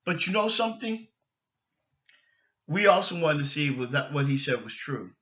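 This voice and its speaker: A middle-aged man hosting a sports podcast solo, giving opinions emphatically and haltingly, repeating phrases as he goes. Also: fairly high pitch, big pitch swings, fairly bright tone, dull audio.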